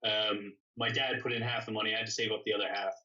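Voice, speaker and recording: A man's voice: fairly low pitch, flat delivery, fast, pushed and bright. A man tells stories casually in a rambling, self-correcting way. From born in England, grew up in Canada. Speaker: interview guest, custom motorcycle builder. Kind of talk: casual conversational storytelling. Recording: dull, distant microphone sound.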